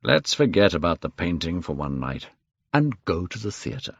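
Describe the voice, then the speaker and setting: A man doing a low, drawling cynical aristocrat. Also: big pitch swings, normal speed, creaky, husky, no room sound, full character voice. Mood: mocking. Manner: dry and drawling. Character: languid, cynical male aristocrat. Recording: slightly muffled dry recording.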